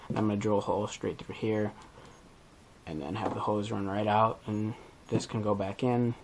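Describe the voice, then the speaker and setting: A man's voice: on the low side, steady tone, normal speed, background noise. A man hosting a DIY video, talking through a hands-on tutorial in a casual, informal way as he thinks aloud.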